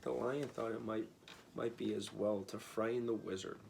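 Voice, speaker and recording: A man's voice: on the low side, fairly even intonation, moderate pace, gravelly, darkish tone, slight background noise. A man giving a dramatic reading of a story as narrator.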